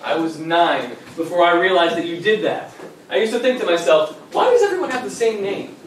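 A fond young grandson played by a man remembers his grandfather on stage, patient and wry. His voice is high for a man. It comes from far off in a very reverberant small theater with faint noise. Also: very animated, fairly quick. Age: young adult. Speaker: stage actor and narrator. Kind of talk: memory play monologue and dialogue. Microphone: far audience camera. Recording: very echoey theater, distant camera, faint noise.

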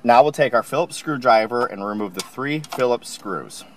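A man calmly explaining, step by step, how to install a car part, recorded inside a car. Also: medium pitch, moderate pace, a little hiss or hum. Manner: calm, plain instructional. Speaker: installation instructor.

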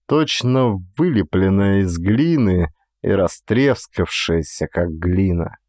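A male actor narrates literary prose expressively. His mid-pitched voice moves in lively, dramatic swings. The recording is dry and close. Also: no echo.

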